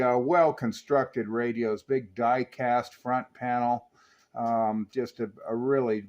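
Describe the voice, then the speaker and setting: An American man talks in an easygoing CB radio style, with a dull, band-limited sound. His pitch is middling and he explains things with a hobbyist's enthusiasm. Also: darkish tone. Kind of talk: casual CB radio chat.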